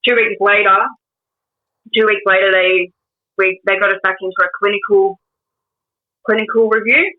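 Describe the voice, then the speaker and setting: A woman's voice: mid-range voice, normal speed, long pauses. Young Australian woman on a tinny line. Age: 20s. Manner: hesitant, many ums and restarts. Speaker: guest. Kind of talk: casual interview storytelling.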